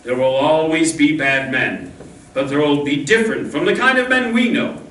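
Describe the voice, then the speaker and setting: A woman playing a noble masked male Ranger in an old-time radio Western, speaking earnestly in measured declamation. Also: low-pitched, animated, fairly bright tone, slight background noise. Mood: earnest, resolute. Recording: roomy distant stage pickup with music.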